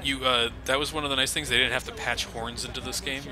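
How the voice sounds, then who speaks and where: A man's voice: medium pitch, flat delivery, quick pace, crowd noise. A man giving casual, sarcastic commentary over a video game, joking about its flaws. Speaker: let's play commentator.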